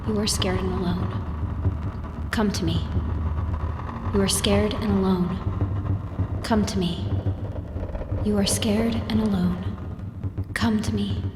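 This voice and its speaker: A woman's voice: medium pitch, steady tone, slow, long pauses, natural voice. A woman playing a weary, defiant psychic in an audio drama, with a terse, guarded delivery. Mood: hypnotic, intense. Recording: very noisy, long echoing hall reverb.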